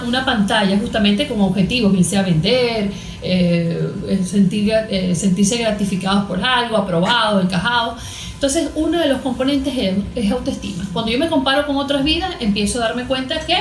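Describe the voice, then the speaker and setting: A Venezuelan woman, a therapist being interviewed, explaining things in an earnest, didactic way, point by point. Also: fairly high pitch. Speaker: therapist and coach, interview guest. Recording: roomy echo.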